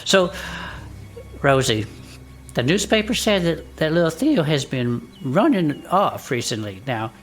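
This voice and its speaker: Swooping, low-voiced folksy investigator. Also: female voice, sing-song, measured pace, soft background music. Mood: curious, probing. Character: folksy, sympathetic amateur investigator, plain-spoken and inquisitive. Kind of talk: immersive audio drama acting.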